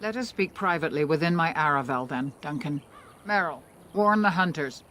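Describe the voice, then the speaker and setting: A fantasy game's elven matriarch speaks with grave composure and formal authority. Her delivery is measured, and faint outdoor ambience sits behind the voice. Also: sits low, animated, fairly bright tone, slight background noise. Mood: composed, grave. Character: grave, composed elven female clan elder and leader. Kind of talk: fantasy video game dialogue.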